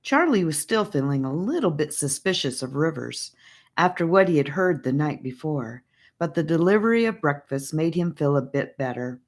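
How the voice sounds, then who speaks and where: A woman narrating a children's fantasy audiobook in an expressive storytelling manner. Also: low-pitched, normal speed.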